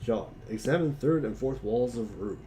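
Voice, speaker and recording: A man's voice: medium pitch, animated, moderate pace, warm tone. Male reader, mid-range voice, brief scripted webcomic commands, slight noise behind. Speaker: reader of commands in dramatic reading. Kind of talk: reading short scripted commands.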